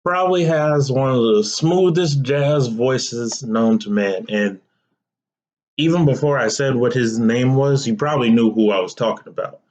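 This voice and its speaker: Young male podcast host, relaxed and chatty, slow delivery, slightly muffled audio. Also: medium pitch. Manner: relaxed, conversational, asks listeners directly. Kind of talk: casual solo podcast talk.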